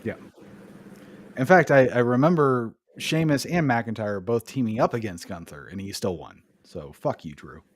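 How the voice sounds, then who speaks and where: A man's voice: medium pitch, expressive, normal speed, no echo. Casual laid-back man recapping, dry sound. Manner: swearing, laughing recap with jokey put-on voices. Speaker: podcast host recapping wrestling. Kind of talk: casual chat.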